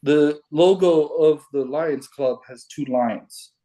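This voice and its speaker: Slow, halting man on a video call. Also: a little high, dark tone. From Hawaii. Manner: unhurried, halting, restarts often. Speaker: caller sharing puzzle theories. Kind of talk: casual video call chat. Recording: video call audio, breaking up.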